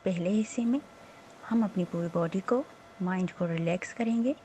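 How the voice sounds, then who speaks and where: A woman meditation instructor leading a slow guided relaxation in a soothing, unhurried, gently instructing manner with pauses. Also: fairly low pitch, a little hiss or hum.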